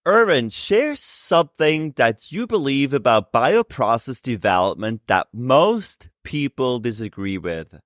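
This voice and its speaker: Slow, lively-voiced male podcast host. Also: medium pitch, dry recording, studio quality. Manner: slow, deliberate questioning. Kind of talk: interview hosting.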